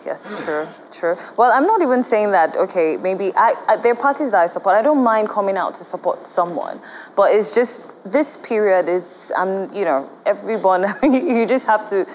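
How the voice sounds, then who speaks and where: A young Nigerian woman, a guest on a studio talk show, lays out her views in a measured, careful way. She speaks at a mid pitch on a lapel mic with faint noise underneath. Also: animated, poor audio. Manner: measured, cautious explanation.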